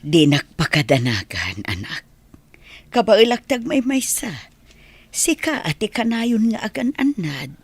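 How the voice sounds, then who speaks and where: A woman acting a husky, caring older Filipino mother. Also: medium pitch, big pitch swings, unhurried, no room sound, exaggerated voice. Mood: tender. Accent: Filipino, Ilocano. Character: caring older mother anxious for her grown son. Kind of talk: Ilocano radio drama acting.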